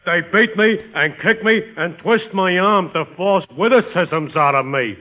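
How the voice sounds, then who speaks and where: An American actor playing a downtrodden, complaining gag writer in a 1940s radio comedy, in a matter-of-fact colloquial way. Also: male voice, high voice, measured pace. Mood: plaintive. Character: downtrodden, plaintive male gag-writer's helper. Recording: muffled, hissy vintage radio recording.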